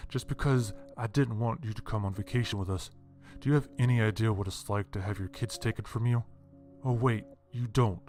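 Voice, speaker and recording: An aggrieved father played by a man with a lowish voice, sarcastic and pointed as he accuses. It is a dramatized audio story with faint music underneath. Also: brisk, darkish tone, lightly acted. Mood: bitter accusation. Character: aggrieved, sardonic father confronting a relative.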